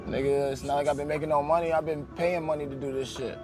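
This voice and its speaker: A man's voice: a little high, steady tone, fast. Blunt, profane man, music behind. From Albany, New York. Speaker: guest, rapper. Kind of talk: casual interview talk.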